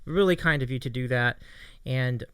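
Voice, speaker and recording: A man's voice: mid-range voice, normal speed, a bit bright, clear, resonant tone, dry recording. A man hosting a livestream interview show, chatting casually in an appreciative, encouraging way. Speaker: host of a streaming interview show.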